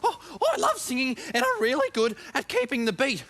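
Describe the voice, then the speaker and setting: A man's voice: very high-pitched, wildly swooping pitch, a little fast, a bit bright, a little hiss or hum, full character voice. A man voicing a sniffly, slurpy rainforest animal who talks eagerly in a children's show.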